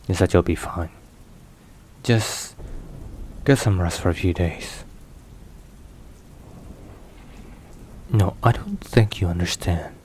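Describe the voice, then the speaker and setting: A man playing a weary, caring mafia boss boyfriend in an ASMR roleplay, hushed and sighing between phrases. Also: deep voice, a little hiss or hum, lightly acted. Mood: gentle concern.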